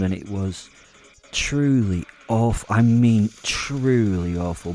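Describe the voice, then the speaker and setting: A man's voice: medium pitch, warm tone. A man narrating a horror audio drama in the first person, in a wry, confiding manner. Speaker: narrator playing the werewolf protagonist.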